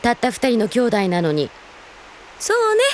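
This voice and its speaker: A woman's voice: a little high, wildly swooping pitch, moderate pace, slight background noise. An actress in a Japanese anime audio drama playing a grown elder sister who teases her brother and yearns for her lost parents. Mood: teasing, playful. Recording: studio drama with music behind.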